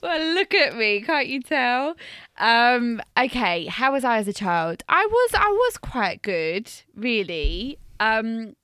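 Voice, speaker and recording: A woman's voice: fairly high pitch, big pitch swings, slow pace, fairly bright tone, smooth, no room sound, clean studio sound. A woman in her thirties from Wales, co-hosting a podcast in a chatty, self-mocking storytelling way and laughing often. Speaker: podcast co-host, teacher. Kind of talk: casual chat.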